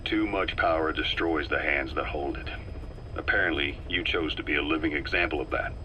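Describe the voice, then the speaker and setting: A man playing a hardened, wry mercenary officer giving a deliberate, emphatic briefing in a video game. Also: very deep voice, bright, forceful tone, slight background noise, poor audio. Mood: grave, pointed.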